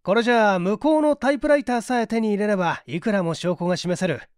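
A man voicing a methodical gentleman detective in an audiobook, assured and analytical as he explains. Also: high voice, fast talker, clear, resonant tone, no echo, clean studio sound, natural voice. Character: confident, methodical gentleman detective in a mystery story.

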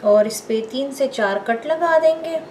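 A woman with a slightly high voice hosts a home cooking lesson. She explains each step in a calm, patient way and speaks straight to her viewers. A soft background hum sits under her voice. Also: a little slow. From Pakistan. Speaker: cooking show host. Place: home kitchen.

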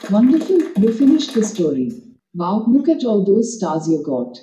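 A woman gives encouraging, scripted prompts as a learning app's assistant. Her tone is very soft and mellow, with lively rises and falls. The playback sounds echoey, as if from a device speaker in a room. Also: mid-range voice, moderate pace, muffled recording, a little hiss or hum. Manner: encouraging, instructional. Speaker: reading app voice assistant. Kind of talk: scripted app prompts and praise. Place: played from a device speaker in a room, picked up by camera.

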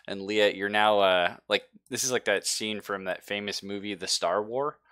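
Male game master, bright forceful voice with dry close sound, slangy and joking. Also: on the low side, steady tone, smooth, no room sound. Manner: jokey, slangy, quick to laugh. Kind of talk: casual tabletop game narration.

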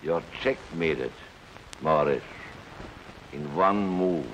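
A needling camp informer, very deep. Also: male voice, very slow, a bit bright, heavily acted. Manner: probing, needling. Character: sly menacing male camp informer. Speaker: suspicious fellow inmate in a refugee camp. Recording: dull 1950s film soundtrack with hiss.

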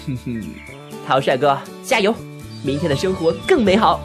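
Casting: earnest young guy job hunting, played by a man, audio drama, very lively intonation, music underneath. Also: a little high, moderate pace, natural voice. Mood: upbeat. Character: earnest, flustered young male job seeker. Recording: music behind the voice. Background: background music.

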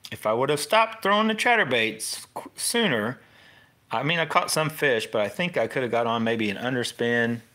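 A man's voice: medium pitch, animated, moderate pace, bright, forceful tone. A middle-aged man with an American accent gives a casual, unscripted fishing tutorial as host, rambling informally and often interrupting himself.

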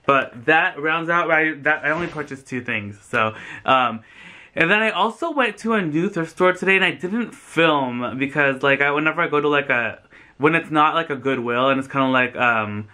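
A man's voice: a little high, moderate pace, bright, forceful tone. An American man in his thirties talking casually to the camera as a vlogger, effusive and rambling, with lots of "like". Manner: effusive, chatty, rambling with frequent "like". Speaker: vlogger and thrift reseller. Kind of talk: casual chatty vlog to camera. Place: small furnished room indoors, on a sofa.

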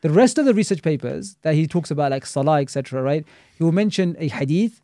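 A man, an Islamic scholar and teacher, talks at a quick clip in a relaxed, critical discussion. His voice sits a little high but sounds mellow and dark. The sound is dry and close, and he scatters Arabic religious terms as he goes. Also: no room sound. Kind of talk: casual podcast discussion.

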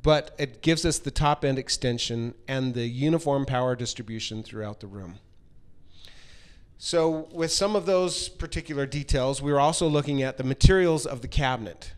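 Clear-voiced middle-aged man presenting a product. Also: medium pitch. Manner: halting, pausing mid-phrase. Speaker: company presenter explaining a product design. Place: large hard-walled workshop room, indoors. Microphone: handheld microphone held close.

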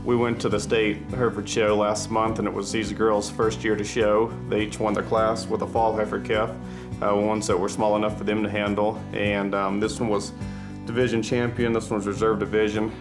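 A man in his thirties from Texas, a rancher, speaking in a modest, plainspoken way while seated indoors on a lapel mic. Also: lowish voice. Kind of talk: interview. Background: background music.

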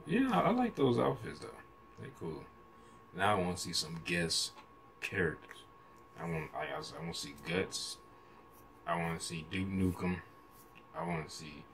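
A man's voice: deep voice, big pitch swings, normal speed, long pauses, fairly bright tone, a little hiss or hum. An American man in his thirties giving casual, slangy reaction commentary in a rambling, hesitant way, recorded in a room at home. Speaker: reaction video host. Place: small room at home, indoors.

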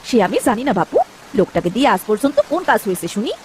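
A woman playing a nagging, sharp-tongued poor village wife and mother in a cartoon story, scolding and sarcastic. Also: on the high side, big pitch swings, fast, a little hiss or hum. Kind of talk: dramatic cartoon story dub.

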